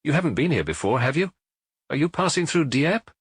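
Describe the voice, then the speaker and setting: A knowing, familiar male dance-hall owner, as a male narrator puts him on for an audiobook. He talks confidingly from behind the bar, pitched up, with a lively melody and a slightly husky grain. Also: brisk, studio quality, heavily acted. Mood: chatty, inquisitive. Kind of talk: audiobook character dialogue.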